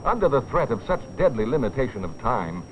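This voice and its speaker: A man's voice: mid-range voice, very animated, brisk, slight background noise. A man delivering dramatic, solemn scripted narration for an educational film, with rhetorical weight. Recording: dull, dated film soundtrack with music.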